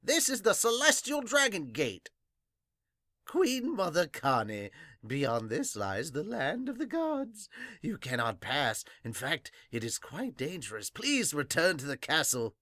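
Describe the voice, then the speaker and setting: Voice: medium pitch, wildly swooping pitch, unhurried, fairly bright tone, dry recording, studio quality. A podcast host voicing a formal, anxious palace gate guard from a manga in an official manner. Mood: stern.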